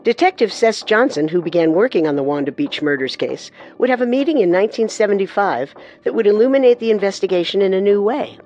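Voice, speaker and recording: True crime co-host: woman, medium pitch, deliberate dramatic read, faint music bed. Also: lively intonation, darkish tone. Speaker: podcast co-host and narrator. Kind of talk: scripted true crime narration.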